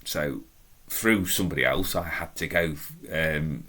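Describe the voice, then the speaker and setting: A middle-aged man with a deep, strong voice, telling a personal story in a chatty, self-deprecating, emphatic way. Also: measured pace, pushed and bright. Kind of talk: casual anecdotal storytelling.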